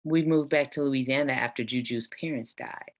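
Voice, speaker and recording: A woman playing an elderly, courteous but bossy and sinister grandmother in a table read, with a slow, commanding manner. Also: rumbling low. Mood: wistful. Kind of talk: virtual table read acting.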